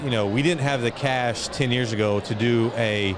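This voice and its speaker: A man's voice: mid-range voice, normal speed. A middle-aged man speaking as an interview guest in a reflective, conversational way. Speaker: guest, entrepreneur and foundation chairman. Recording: noisy outdoor event, street and vehicle ambience.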